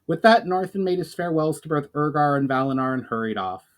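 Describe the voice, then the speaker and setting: A man's voice: on the high side, animated, quick pace. A man narrating a fantasy story as a dramatic audiobook-style reading. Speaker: author reading his book.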